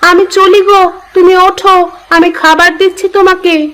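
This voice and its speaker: A woman's voice: extremely high pitch, little pitch movement, unhurried, fairly bright tone. A woman voicing a scheming, coaxing young village wife in a theatrical cartoon moral tale. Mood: sweetly coaxing.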